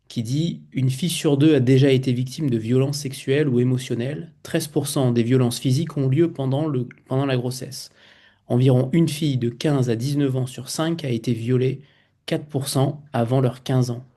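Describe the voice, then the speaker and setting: A man's voice: mid-range voice, dark tone. A man hosting a literary interview, asking long, multi-part questions and reading out a quote from the book.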